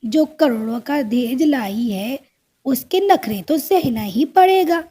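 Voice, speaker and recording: Woman as a slow, weary crow husband. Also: high voice, lively intonation, mellow, breathy edge, voice pushed down, exaggerated voice. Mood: resigned. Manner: resigned, plaintive. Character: weary, henpecked adult male crow son. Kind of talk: cartoon story dialogue.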